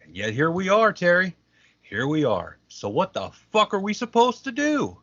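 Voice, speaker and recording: A man's voice: sits high, wildly swooping pitch, moderate pace, fairly bright tone, no echo, muffled recording, exaggerated voice. A man acting in a comedic audio play as a petulant, self-important wrestling referee with a melodramatic manner. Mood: aggrieved. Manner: indignant, melodramatic.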